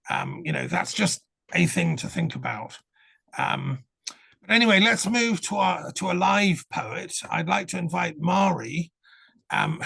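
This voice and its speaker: A man runs an online panel over a video call, politely steering speakers along. His voice is somewhat high, very gravelly and husky. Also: a bit bright, no room sound. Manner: polite, brisk, interrupts to keep order. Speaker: moderator.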